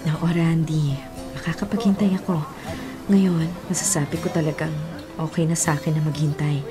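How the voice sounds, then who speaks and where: An actress plays a patient young Filipino girlfriend in a radio drama. Her voice is slightly low, gentle and sincere, with music clearly behind it. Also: level tone, normal speed, natural voice. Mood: tender, content. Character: patient, supportive young girlfriend, caring and devoted. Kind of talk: Tagalog radio drama acting. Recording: music under the voice.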